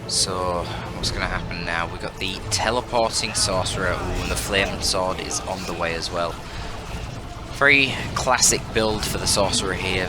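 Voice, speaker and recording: A man with a bunged-up voice giving casual, sweary, rambling commentary on a video game match with joking asides. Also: low voice. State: congested, bunged-up voice.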